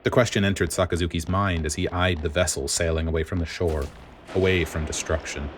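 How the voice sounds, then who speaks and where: A man reading a story aloud as dramatic scripted narration, in a grave, deliberate manner. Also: low-pitched, steady tone, slight background noise. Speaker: podfic reader.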